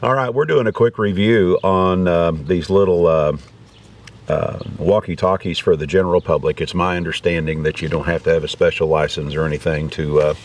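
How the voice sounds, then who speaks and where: Low-voiced man in a casual product demo. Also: fairly even intonation, moderate pace. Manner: folksy, rambling. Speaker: video host reviewing gear. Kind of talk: casual unscripted product demonstration. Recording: distant mic, background noise.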